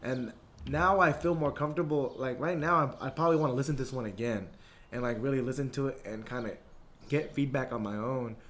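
A young man hosts a podcast in an easygoing, slangy way, leaning on "like" and "you know what I mean". His voice sits in an ordinary middle pitch. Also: brisk, a bit bright. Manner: laid-back slangy talk, lots of "like" and "you know". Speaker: podcast host. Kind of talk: casual podcast chat.

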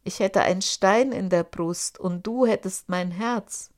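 Bold, accusing young man, woman reading, slow and firm. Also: medium pitch, a little mellow, lightly acted. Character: young male charcoal burner, defiant and sly. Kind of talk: audiobook character voice.

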